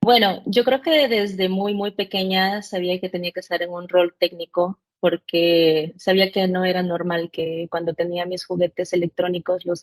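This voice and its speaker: A woman, chatting as an interview guest in a loose way, with many fillers and restarts. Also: on the low side. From born in Mexico, raised in El Salvador. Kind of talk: casual interview chat.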